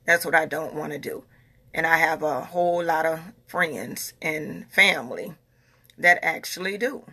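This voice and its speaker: Gravelly, earnest woman in her forties. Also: low voice, unhurried, bright, forceful tone. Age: 40s. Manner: earnest, repeats herself, talks in a Southern US dialect. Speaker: vlogger. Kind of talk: casual talk to followers.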